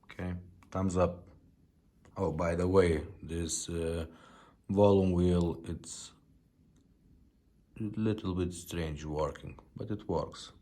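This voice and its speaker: Slow, low-voiced man demonstrating gear. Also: steady tone, mellow. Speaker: presenter testing vintage car radios. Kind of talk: casual product demonstration.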